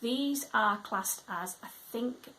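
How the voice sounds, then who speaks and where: A woman with a Yorkshire accent chatting through her reading plans as a book vlogger, reading blurbs aloud in a measured, chatty way and trailing off into soft asides. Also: fairly high pitch, low-quality recording.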